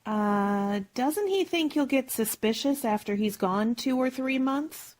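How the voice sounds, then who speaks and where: Woman playing a lively, arch lady friend. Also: high voice, measured pace, very noisy. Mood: arch, chummy. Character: breezy, gossipy society matron, housewife's best friend. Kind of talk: old-time radio sitcom acting.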